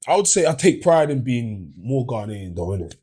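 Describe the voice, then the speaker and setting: A man with a London accent talks in a slightly husky, mid-pitched voice. He is animated and emphatic, often asking whether the others follow him. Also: normal speed. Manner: animated, emphatic, keeps asking do you know what I mean. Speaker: panel guest. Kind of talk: casual discussion.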